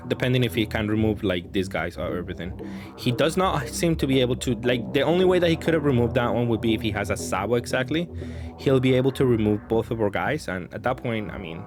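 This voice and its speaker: A man's voice: medium pitch, animated, fairly quick. A man giving casual, improvised commentary on his own card game, thinking aloud hesitantly and often trailing off. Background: background music.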